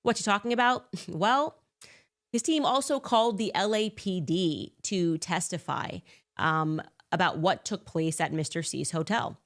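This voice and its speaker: A woman's voice: on the low side, unhurried. A woman giving a commentary monologue as a podcast host, with sarcastic, incredulous asides.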